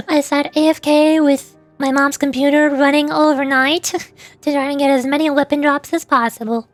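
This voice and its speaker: High-pitched, chatty woman streamer. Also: fairly even intonation, normal speed, no room sound. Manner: chatty, rambling, playful. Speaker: livestreamer, VTuber host. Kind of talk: casual chat while streaming a game. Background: faint music.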